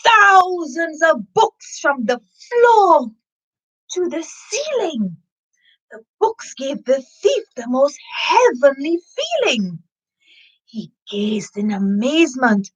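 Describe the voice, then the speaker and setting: A woman's voice: high voice, very animated, slow pace, low-quality recording. A woman from Trinidad doing a children's story time, reading expressively and talking casually straight to her young listeners.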